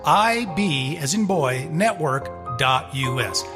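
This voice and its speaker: Animated male announcer in heavy reverb. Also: on the high side, slow. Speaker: radio show host and announcer. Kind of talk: scripted promotional outro. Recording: long reverb under loud music. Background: loud music.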